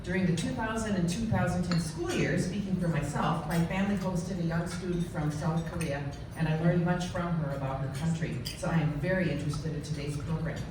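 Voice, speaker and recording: A woman reading a formal introduction of a guest speaker to a club, steady as she reads out credentials. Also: fairly low pitch, a little fast, hardly any pauses, noisy background. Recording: echoey room, recorded from far off.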